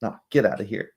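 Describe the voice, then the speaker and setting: A young man hosting a livestream with casual commentary, speaking in a rambling, halting way and often checking in with tag questions. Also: on the high side, slightly rough. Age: young adult. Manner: rambling, halting asides, frequent "right?" tags. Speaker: livestream host.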